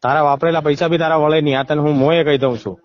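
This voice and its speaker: Middle-aged man, rural eastern Gujarat accent, rapid and domineering persuader, slightly high voice, dull phone-recording sound. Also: fairly even intonation. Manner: insistent, domineering, talks over the listener. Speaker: political party member. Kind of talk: informal phone conversation, persuading. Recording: muffled, band-limited phone call recording.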